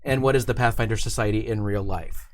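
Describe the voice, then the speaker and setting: A man's voice: fairly low pitch, steady tone, fast. A man hosting a casual live-streamed interview in a studio, talking in an informal, chatty way. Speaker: show host.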